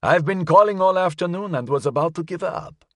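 A man voicing an elderly, courteous Frenchman who speaks in a formal, precise, measured way in an audiobook. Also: high-pitched, dry recording, pitched above the performer's own voice, lightly acted. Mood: curious. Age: older. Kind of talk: audiobook character acting.

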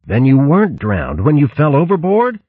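A man's voice: on the high side, huge pitch swings, normal speed, breathy edge, no echo, pitched above the performer's own voice, full character voice. A man voicing an emotional, brave young male mouse from a ship's crew, speaking earnestly. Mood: desperate. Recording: muffled lo-fi recording.

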